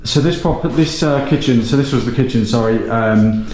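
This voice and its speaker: A man's voice: medium pitch, normal speed, darkish tone, slight background noise. Hesitant man touring an echoey cottage. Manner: hesitant, many ums, self-correcting. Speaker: renovation channel host. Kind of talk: casual walkthrough tour. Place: gutted small cottage, indoors and out. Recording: echoey bare rooms.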